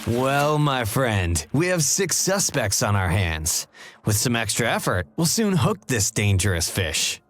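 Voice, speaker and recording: A man's voice: medium pitch, very animated, a little slow, a bit bright, soft background music. A man voicing a determined detective in a mystery game, speaking earnestly and dramatically to a partner. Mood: confident. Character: determined male detective talking to his partner. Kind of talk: video game voice acting.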